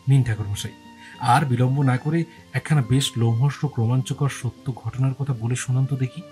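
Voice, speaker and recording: A man giving dramatic scripted first-person narration for an audio story, in deliberate, suspenseful phrases. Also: mid-range voice, fairly even intonation, a little mellow, soft background music.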